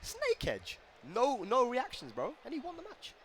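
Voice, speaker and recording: A man commentating a fighting game tournament, excitable and joking. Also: extremely high pitch, normal speed, a little hiss or hum. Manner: excitable, laughs often, jokes around. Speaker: esports commentator. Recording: commentary over loud game music.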